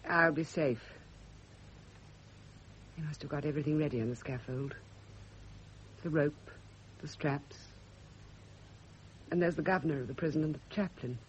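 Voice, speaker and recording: A woman's voice: low-pitched, moderate pace, long pauses, lightly acted. An actress in a radio drama playing a bitter, jealous, obsessed woman who broods and repeats her phrases. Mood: brooding, hateful. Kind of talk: classic radio drama acting. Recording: dull, slightly noisy old radio recording.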